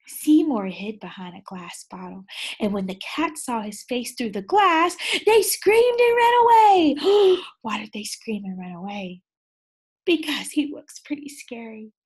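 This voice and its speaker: Woman teacher, slow and lively read-aloud voice, a little breathy. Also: on the high side. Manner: animated, gasps and sighs, asks children questions. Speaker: second grade teacher. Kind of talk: children's read-aloud with questions.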